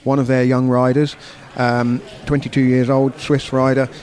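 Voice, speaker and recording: A man's voice: mid-range voice, flat delivery, moderate pace, a little mellow. A man co-hosting a cycling podcast, giving conversational race round-ups and commentary. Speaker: sports podcast co-host. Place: bar of a sports complex.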